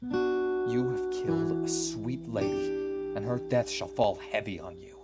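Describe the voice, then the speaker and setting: A man's voice: fairly low pitch, slow pace. A man playing a stern, righteously angry young lord in a Shakespeare radio play, grave and formal in delivery. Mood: cold, challenging. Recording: loud guitar music behind.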